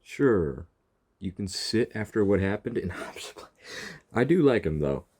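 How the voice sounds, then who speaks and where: Low-voiced, animated male gaming reactor. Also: unhurried. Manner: teasing, talks back to game characters. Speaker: gaming video creator. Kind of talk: casual reaction commentary over gameplay.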